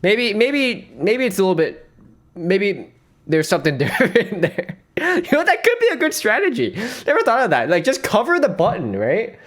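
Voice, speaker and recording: A man's voice: high voice, huge pitch swings, moderate pace, a bit bright. A man streaming, giving rambling, playful reaction commentary on a dating show and laughing often.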